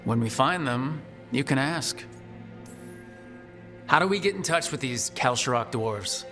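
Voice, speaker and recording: A man's voice: mid-range voice, expressive, unhurried, pushed and bright. An actor playing a direct, wry young male hero in a video game, in a conversational manner. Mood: curious, concerned. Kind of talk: naturalistic video game acting. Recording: music behind the voice.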